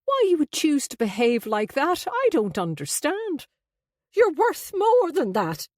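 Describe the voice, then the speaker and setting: A petulant middle-aged mother from a novel, acted by a woman narrator, pitched well up. She pleads in a wounded, drawn-out way, full of self-pity. The sound is close and dry. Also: lively intonation, a little mellow, no echo, exaggerated voice.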